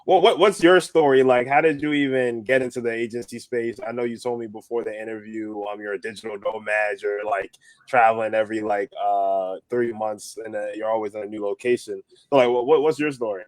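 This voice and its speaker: A man's voice: fairly low pitch, a little fast, hardly any pauses, no room sound, rough recording. Guy hosting a call interview, dry close sound, lots of mm-hmms. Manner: steady backchanneling, eager questions. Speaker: podcast host. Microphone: video call.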